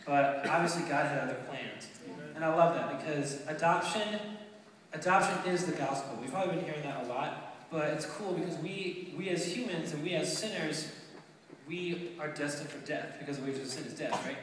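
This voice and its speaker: A nineteen-year-old man from Romania giving an earnest, halting testimony to an audience, with many ums and restarts. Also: on the high side, fairly bright tone, slight background noise. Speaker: speaker telling his adoption story. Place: large hall with an audience. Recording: echoey hall from far off.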